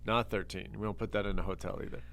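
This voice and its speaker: A man's voice: fairly low pitch, racing, gravelly. Rambling middle-aged male podcast host. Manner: rambling, restarts often, animated when making a point. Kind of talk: casual chat.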